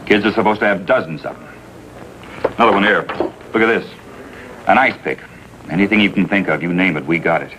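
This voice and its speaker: An actor playing a plain-spoken American juvenile officer, brisk and clipped, in a 1950s radio drama. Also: male voice, deep voice, close to an everyday voice. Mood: grimly businesslike. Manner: brisk, clipped, matter-of-fact. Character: weary, plain-spoken male juvenile police officer briefing colleagues. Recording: old radio recording, faint noise.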